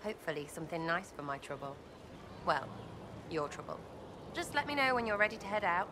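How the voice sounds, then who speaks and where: An actress playing a blunt, irreverent young elf archer in a game cutscene, talking in a casual, clipped way. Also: female voice, lowish voice, sing-song, fairly quick, background noise. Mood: cheeky.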